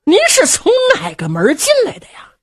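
Palace steward, voiced by a woman, hushed, cautious, husky. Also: mid-range voice, wildly swooping pitch, normal speed, heavily acted. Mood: wary, hushed. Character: loyal male palace steward, wary and sly. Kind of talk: traditional storytelling.

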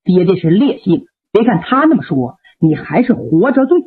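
A woman doing a calm, steady elder brother warrior. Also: mid-range voice, slightly rough, pitched below the performer's own voice. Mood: consoling. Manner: calm, reassuring, measured. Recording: tinny phone-like radio recording.

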